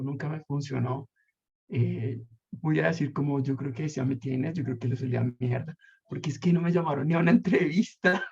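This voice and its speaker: A man's voice: fairly high pitch, vocal fry, a little mellow, husky. A Colombian man in his thirties, telling his life story in a casual interview, rambling and reflective with many fillers and restarts. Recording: muffled lo-fi recording.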